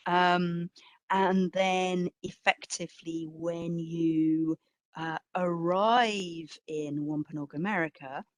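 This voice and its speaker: Reflective woman curator, lowish voice, close dry sound. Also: no room sound. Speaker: exhibition curator giving a talk. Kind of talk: informal academic talk and question answering.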